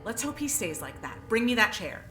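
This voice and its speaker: A sharp, highish female voice plays a defiant escaped prisoner in an audio drama. She is biting and sarcastic and swears freely, her pitch jumping about. The sound is a little roomy. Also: very animated, brisk, soft background music. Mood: defiant, bitter.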